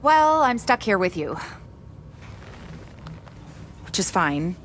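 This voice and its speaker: A woman's voice: medium pitch, sing-song, slow pace, slight background noise. An actress playing a brusque, sardonic woman doctor and driven virus researcher in a video game cutscene. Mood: earnest, weary. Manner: sarcastic, blunt, impatient.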